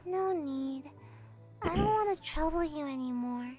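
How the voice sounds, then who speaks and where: A woman's voice: high voice, lively intonation, measured pace, mellow, faint music behind. A woman voicing a sulky young ninja girl in a video game, childlike, earnest and dejected, with a dramatic streak. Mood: glum.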